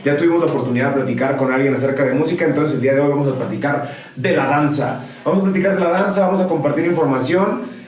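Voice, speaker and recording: Upbeat male podcast host with a Mexican accent; slightly high voice, distant mic in a very echoey room. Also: racing. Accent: Mexican Spanish. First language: Spanish. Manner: upbeat, playful, mixing two languages. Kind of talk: enthusiastic podcast hosting. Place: room in Guadalajara.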